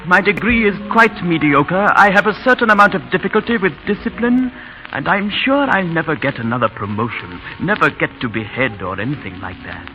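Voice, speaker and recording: An actor playing a modest, middle-aged English schoolmaster, hesitant and earnest, in a 1930s radio drama. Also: male voice, a little high, lively intonation, brisk, pushed and bright. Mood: earnest confession. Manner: hesitant, earnest, self-deprecating. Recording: muffled 1930s radio broadcast, music behind.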